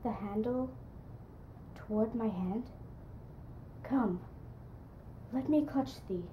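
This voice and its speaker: A woman acting a haunted, brooding would-be murderer in a hushed, deliberate Shakespearean soliloquy. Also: fairly high pitch, highs cut off, a little hiss or hum. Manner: hushed, slow, breathy, deliberate.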